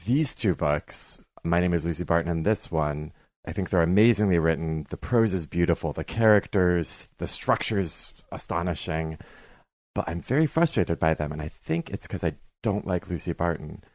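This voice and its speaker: A man with a deep voice discusses books as a podcast guest. He is opinionated and emphatic but conversational, captured close with a very dry sound. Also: lively intonation, normal speed, darkish tone, no echo. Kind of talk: conversational book criticism.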